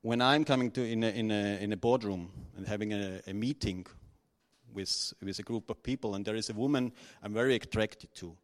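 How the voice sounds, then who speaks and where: A middle-aged man from Austria, speaking unscripted to an audience, halting and nervous, with many restarts and fillers. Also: fairly low pitch. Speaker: conference speaker.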